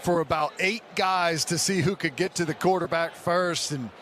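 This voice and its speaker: A man's voice: fairly high pitch, moderate pace, fairly bright tone. A man with an American accent giving conversational, folksy football analysis between plays on a radio broadcast. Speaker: radio color commentator. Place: stadium broadcast booth. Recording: live radio sportscast with faint noise.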